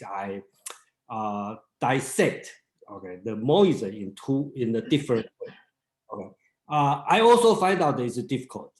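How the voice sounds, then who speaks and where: Male group leader, Mandarin native, halting delivery, roomy echo. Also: mid-range voice, darkish tone. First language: Mandarin Chinese. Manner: halting, many restarts and fillers. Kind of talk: casual seminar talk.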